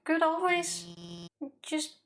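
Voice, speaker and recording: A woman's voice: very high voice, level tone, slow, fairly bright tone, slight background noise, pitched above the performer's own voice, full character voice. A woman voicing a nervous, awkward female monster scientist who stammers and hesitates, in video game dialogue. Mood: panicked.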